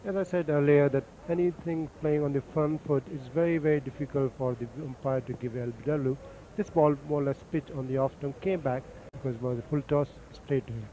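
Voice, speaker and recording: A man calmly explaining each delivery as the expert summariser on a cricket broadcast. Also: on the high side, fairly quick, a little hiss or hum, low-quality recording. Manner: calm, explains deliveries technically. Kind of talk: analytical commentary.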